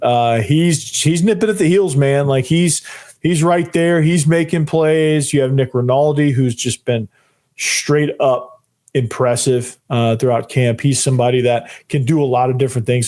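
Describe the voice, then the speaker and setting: A young man hosts his own sports podcast with a hoarse, worn-out voice that sounds close to giving out. He talks in an excited, rambling way, circling back over the same phrases and dropping "man" at the ends of sentences. His pitch sits in an ordinary middle range. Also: normal speed. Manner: fired-up, rambling, repeats phrases, says "man" often. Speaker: podcast host. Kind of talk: solo sports podcast talk.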